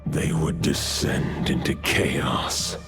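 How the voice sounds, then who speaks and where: An actor playing an ancient, vast male wolf god who speaks in a grave, archaic, measured way, in a video game. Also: steady tone, over music, heavily acted. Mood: commanding. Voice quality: deep gravelly voice.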